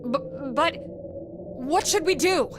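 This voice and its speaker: A woman's voice: sits high, lively intonation, slow, noisy background. An actress playing an anxious, dutiful young female military operator, alarmed and deferential, in a video game. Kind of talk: video game cutscene acting.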